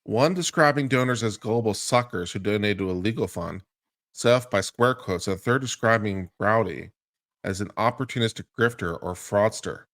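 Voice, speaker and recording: A man's voice: fairly low pitch, normal speed, fairly bright tone. A man giving a dramatic reading of a lawsuit, mixing formal reading with casual, profane, incredulous commentary. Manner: profane, incredulous asides between formal read passages. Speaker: legal commentator.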